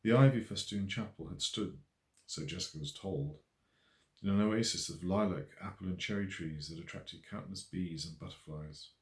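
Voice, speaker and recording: A man reading a novel aloud as its author, in measured, expressive literary narration. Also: low voice. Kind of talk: scripted audiobook narration. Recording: roomy echo.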